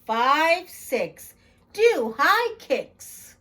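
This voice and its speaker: High, expressive woman reading to children. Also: drawn-out words. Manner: expressive, addresses young children directly. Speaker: children's story-time host and reader. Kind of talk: children's read-aloud.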